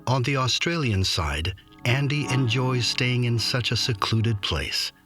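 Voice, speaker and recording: A man narrating a nature documentary in a measured scripted delivery. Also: mid-range voice. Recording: music bed behind voice-over. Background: music.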